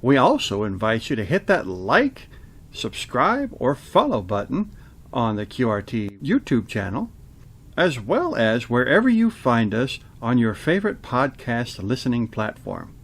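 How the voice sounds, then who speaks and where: Lively, gravelly male announcer. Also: mid-range voice, measured pace. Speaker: announcer and host. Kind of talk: radio theater credits and announcements.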